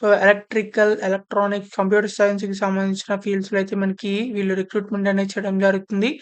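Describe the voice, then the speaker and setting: A woman's voice: medium pitch, completely flat delivery, rapid-fire, smooth. A woman presenting an informal explainer voice-over, repeating her phrasing and mixing in many English terms. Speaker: presenter of job update videos. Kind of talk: informal explainer narration of a job notification.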